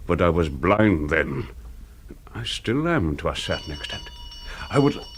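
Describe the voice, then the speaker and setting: The older master of a secretive house in a radio play. His voice is very low and he speaks formally, with measured, cool condescension and a threat beneath the politeness. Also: big pitch swings, slight background noise. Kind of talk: radio drama acting.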